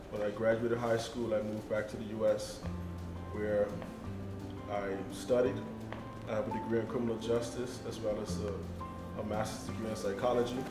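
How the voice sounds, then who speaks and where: A man speaking earnestly on a panel, building emphasis through repetition. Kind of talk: discussion. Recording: echoey room with low rumble.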